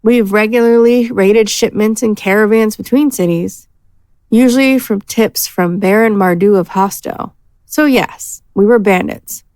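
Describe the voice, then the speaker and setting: A woman's voice: mid-range voice, unhurried, darkish tone, no room sound, natural voice. Woman playing a defiant ex-bandit, dry sound. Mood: frank, defensive. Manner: candid, unashamed, defensive. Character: frank, defiant female former bandit. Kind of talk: fantasy audio drama acting.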